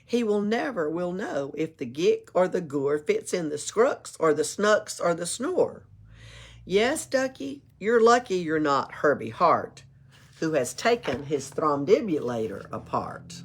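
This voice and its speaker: A woman's voice: fairly low pitch, unhurried, slightly rough. A woman reading a rhyming picture book aloud at a library storytime, playful, with little asides of her own. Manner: expressive, playful, adds asides and chuckles.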